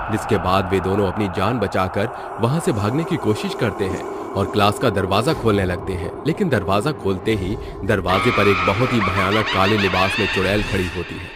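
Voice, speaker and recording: A man's voice: fairly low pitch, very noisy. A man narrating a scripted horror story in a dramatic storytelling manner.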